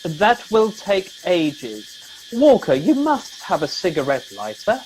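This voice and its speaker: Genteel officer with lilting pitch played by a man. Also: huge pitch swings, takes it slowly, slight background noise, heavily acted, low-quality recording. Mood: mildly concerned. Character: mild, genteel, languid male second-in-command. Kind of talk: comedy radio play acting.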